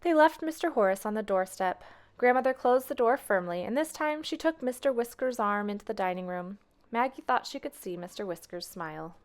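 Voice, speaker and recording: A woman storyteller reading a children's tale aloud with expressive narration. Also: fairly high pitch, a little fast.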